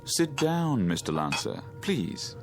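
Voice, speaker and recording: An actor in a radio drama playing a steady ship's captain, polite, measured and formal. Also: male voice, mid-range voice, over music. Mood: courteous. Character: courteous, steady ship's captain, a man in authority.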